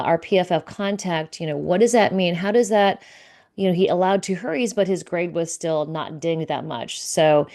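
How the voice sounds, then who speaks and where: A woman with a low voice talks through a football recap in a relaxed, conversational way, pausing on "um" and "you know" as she relays quotes. She reads grades and numbers off her notes between her own comments. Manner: conversational, many fillers, reads out stats and quotes. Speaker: sports reporter recapping team news. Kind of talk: casual solo recap to camera.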